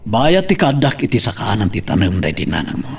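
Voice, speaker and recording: A man's voice: on the low side, very animated, normal speed, vocal fry, breathy edge, full character voice. A man acting a troubled older father in an Ilocano audio drama. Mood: earnest, troubled. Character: troubled older father who visits the cemetery.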